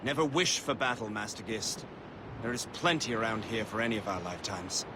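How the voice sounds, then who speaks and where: A man's voice: lowish voice, a little fast, background noise, close to an everyday voice. A man playing a composed, principled middle-aged colonel in a video game, speaking formally and precisely. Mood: calm, advising.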